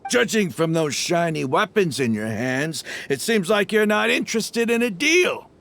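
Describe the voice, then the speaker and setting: An actor playing a brash, scornful mercenary gang leader in a video game, with a gravelly voice and a theatrical, taunting drawl. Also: male voice, sits high, sing-song, normal speed, fairly bright tone, slight background noise, heavily acted. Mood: mocking. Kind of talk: video game villain acting.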